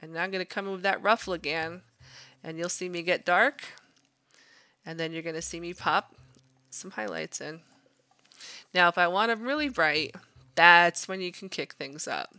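A woman's voice: fairly low pitch, normal speed, long pauses, bright, forceful tone, no room sound. A woman art instructor narrating a drawing demonstration in an informal, encouraging, conversational way. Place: voice-over to a screen recording.

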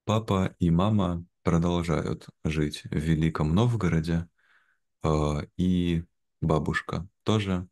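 Very deep-voiced young man speaking slowly. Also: a little mellow. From Veliky Novgorod, Russia. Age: young adult. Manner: measured, clearly phrased. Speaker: interview guest, language teacher. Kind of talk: slow, simple conversational speech for language learners. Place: indoor room, video call. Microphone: video call, wearing headphones.

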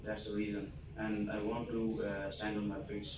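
A man's voice: fairly low pitch, flat delivery, moderate pace. A man in his twenties answering job interview questions over a video call, hesitant with many fillers, in a small office. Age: 20s. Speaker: job applicant. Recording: echoey room, distant mic, noisy.

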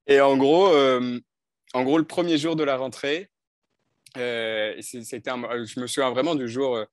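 A man's voice: mid-range voice, steady tone, a little slow. A man in his thirties from northern France speaks as a coach recounting his past. He is thoughtful and hesitant, often restarting his sentences. His headset mic gives a close, dry sound with no room echo. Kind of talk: telling his life story in an interview. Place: bright indoor room. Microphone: headset on video call.

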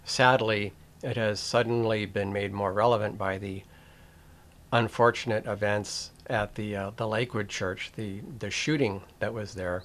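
Slow, deliberate older man musing. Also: lowish voice, fairly even intonation, fairly bright tone. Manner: deliberate, with pauses and restarts, wry. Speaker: video essay host. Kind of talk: slow reflective commentary to camera.

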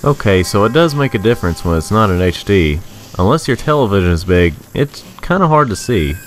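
Deep guy doing a rhyming game review, voice goes up and down, sarcastic, faint music behind. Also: very animated, brisk. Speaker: host of a video game review.

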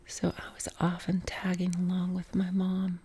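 A middle-aged woman from West Virginia with a softened Appalachian accent, whispering an unhurried question-and-answer chat from her living room couch, close to the mic. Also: fairly low pitch, completely flat delivery, breathy edge. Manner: unvoiced whisper, unhurried with many ums. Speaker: ASMR creator. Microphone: close mic, camera framed on torso.

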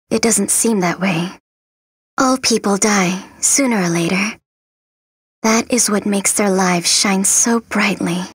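A woman voicing a gentle, melancholy young princess of death in a video game, soft, breathy, solemn and wistful. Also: mid-range voice, dry recording, studio quality. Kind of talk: video game character acting.

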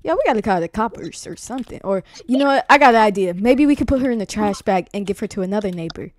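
A woman improvising a comic online game roleplay, bossy and excitable, talking in slang. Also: mid-range voice, fast talker, no echo. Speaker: roleplay video player.

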